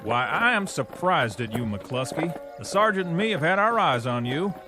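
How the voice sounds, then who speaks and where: An actor playing a teasing, wisecracking National Guard corporal. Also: male voice, fairly high pitch, big pitch swings, moderate pace, music in the background.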